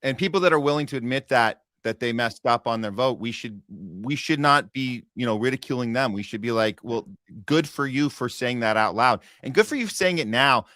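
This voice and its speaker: A man's voice: mid-range voice, brisk, fairly bright tone, no room sound, clean studio sound. A man hosting a political talk podcast, speaking earnestly and rambling with frequent restarts. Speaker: podcast host. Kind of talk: casual political talk show.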